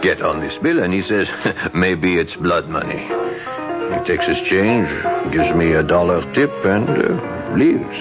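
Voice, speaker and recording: A man's voice: low voice, lively intonation, a little slow. An actor playing a chatty restaurant owner who keeps chuckling as he tells his story, in a 1970s radio drama. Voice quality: chuckles often while telling his story. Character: chatty, chuckling small-town restaurant owner, older man. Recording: muffled vintage radio broadcast with music.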